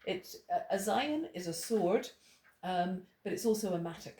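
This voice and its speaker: A woman's voice: mid-range voice, lively intonation, moderate pace, mellow. A middle-aged woman from Ireland teaching a small study group, digressing into stories with frequent restarts. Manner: digressive, storytelling, many restarts. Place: indoor room with a small group. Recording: roomy echo, faint noise.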